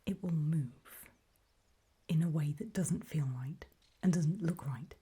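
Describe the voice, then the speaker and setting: A woman playing a calm, faintly sinister guide in a creepy ASMR roleplay, whispering slowly and deliberately with a breathy voice. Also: sits low, exaggerated voice. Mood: calmly instructive. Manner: slow, deliberate, coolly instructive, softly ominous. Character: calm, faintly sinister female guide briefing people on alien dangers.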